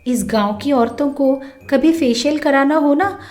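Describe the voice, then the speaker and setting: A woman voicing a timid, excitable young village wife in a storybook tale, with a gossipy, animated manner. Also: sits high, measured pace. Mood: chatty. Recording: music bed under the voice.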